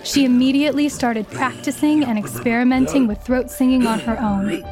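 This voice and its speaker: A woman with a fairly high voice narrates a children's biography in a scripted storytelling style. Music plays clearly behind her words. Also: steady tone, moderate pace.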